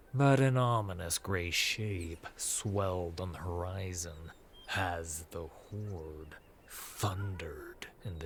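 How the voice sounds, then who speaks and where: Male fantasy audiobook narrator: low register, unhurried delivery, faint ambient noise behind. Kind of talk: scripted fantasy story narration.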